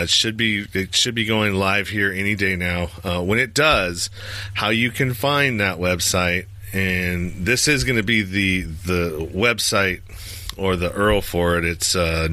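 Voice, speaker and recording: Guy hosting a podcast, kinda low voice, rambles on casual, super dry no room sound. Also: pushed and bright, no room sound. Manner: rambling, loose and talkative. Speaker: podcast host.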